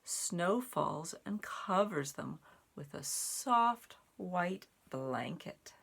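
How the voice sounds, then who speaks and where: A woman reading a children's picture book aloud, phrasing it deliberately in short pieces with animated emphasis. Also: fairly low pitch, gravelly. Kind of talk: expressive read-aloud for young children.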